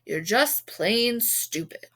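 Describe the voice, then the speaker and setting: A brash anchorman from a children's storybook, as a woman reader puts him on. His questions are punchy and taunting, with a lively rise and fall. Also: a little high, slow pace, heavily acted. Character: brash, goading male TV news anchorman.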